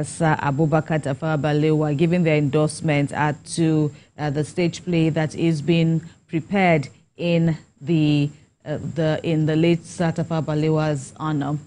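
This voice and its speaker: A woman with a Nigerian accent presenting live studio news and interviewing in a conversational, halting way. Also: deep voice, darkish tone.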